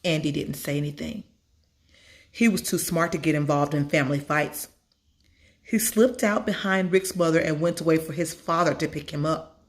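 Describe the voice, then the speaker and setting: Woman, storyteller, scripted children's story narration, lowish pitch. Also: fairly even intonation, normal speed.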